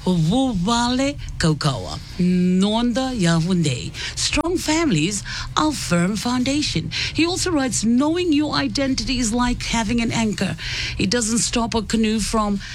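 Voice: mid-range voice, animated, unhurried, slight background noise. Upbeat radio presenter. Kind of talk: radio interview hosting.

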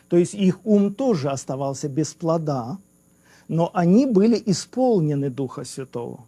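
A man's voice: fairly high pitch, dark tone. A man in a theological debate, raising polite objections and pressing questions.

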